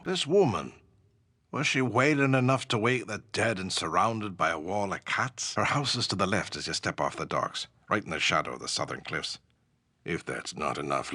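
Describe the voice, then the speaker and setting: An actor playing a gruff, wry but good-hearted ship's captain in a fantasy video game, with salty, colloquial seafaring speech. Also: male voice, low voice, pushed and bright, no echo, clean studio sound, heavily acted. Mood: grateful.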